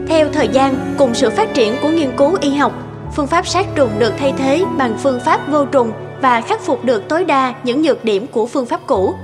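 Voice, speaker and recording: A woman's voice: high voice, expressive, a little fast, music in the background. A woman narrating a scripted true story in a documentary style.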